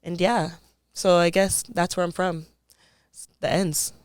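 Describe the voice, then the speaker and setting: A young woman in her twenties with a low, very smooth and clear voice. She talks as a guest in a reflective, casual interview, thinking aloud and filling pauses with "like." The sound is dry and close. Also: steady tone, dry recording. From Toronto, Canada. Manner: thoughtful, frequent "like" fillers.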